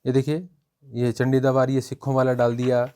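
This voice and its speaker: A man's voice: mid-range voice, completely flat delivery, moderate pace, dark tone, clear, resonant tone. A man giving an informal educational review, explaining point by point and often repeating himself. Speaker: exam-prep book reviewer.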